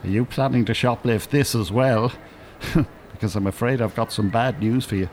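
An actor playing an older retired teacher in a radio drama, with precise formal wording and dry sarcasm. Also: male voice, medium pitch, moderate pace, a little mellow, a little hiss or hum, lightly acted. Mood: scornful. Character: prim, sardonic retired teacher, older man.

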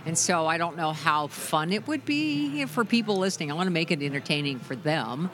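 A middle-aged woman with a deep voice, a musician guest chatting loosely in an interview, full of restarts and "you know". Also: animated, a little fast, fairly bright tone. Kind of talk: casual interview chat.

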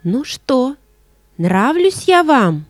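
A woman playing a mysterious, kindly old fairy who guides children in an audio play, speaking deliberately and theatrically. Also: high voice, big pitch swings, dry recording, studio quality, heavily acted. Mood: gently playful.

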